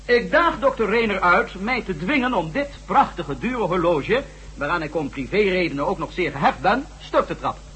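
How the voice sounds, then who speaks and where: A man's voice: sits high, sing-song, moderate pace, fairly bright tone, a little hiss or hum. An actor playing a pompous middle-aged male delegate, speaking haughtily in an old radio play. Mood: haughty. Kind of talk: 1970s radio drama acting.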